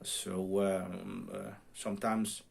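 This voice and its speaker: A middle-aged man, a self-taught non-native English speaker, talks casually to viewers as a radio hobbyist, often pausing and repeating himself while searching for words. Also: deep voice, level tone, creaky, husky. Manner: halting, searching for words, repeats word starts. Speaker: amateur radio vlogger. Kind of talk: casual unscripted talk to viewers. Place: home radio room.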